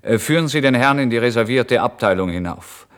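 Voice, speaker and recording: An actor voicing a brisk, officious male police officer on night duty, clipped and emphatic, in a radio story. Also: medium pitch, expressive, clean tone, pitched above the performer's own voice, natural voice. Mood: commanding.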